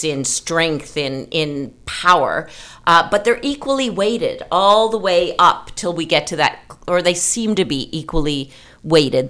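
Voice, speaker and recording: A woman's voice: low voice, fairly bright tone. A woman hosting a conversational literary podcast, explaining ideas and asking leading questions. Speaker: podcast host.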